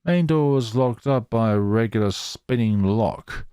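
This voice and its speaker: A man reading a horror visual novel aloud in a theatrical, halting way, with casual asides. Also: on the low side, mellow, clear, resonant tone, no room sound, clean studio sound.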